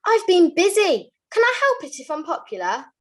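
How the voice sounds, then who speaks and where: A woman's voice: very high-pitched, wildly swooping pitch, moderate pace, full character voice. Woman playing a vain, swooping diva puppet. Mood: breezy vanity. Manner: affected, luvvie, dramatic. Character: vain, theatrical diva puppet who says darling. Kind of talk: comedy puppet show acting. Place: video call.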